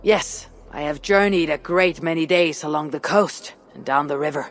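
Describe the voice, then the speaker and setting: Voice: medium pitch, normal speed, a bit bright, natural voice. An actor playing a composed, blunt Viking warrior in a video game, formal and direct in speech. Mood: polite, guarded. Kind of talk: dramatic video game acting. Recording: reverberant space, faint ambience.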